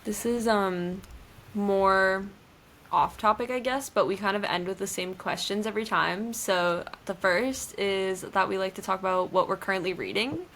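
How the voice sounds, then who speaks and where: A woman's voice: mid-range voice, moderate pace, a bit bright, slight background noise. A woman co-hosting a podcast, asking questions casually with lots of fillers and giggles. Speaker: podcast co-host.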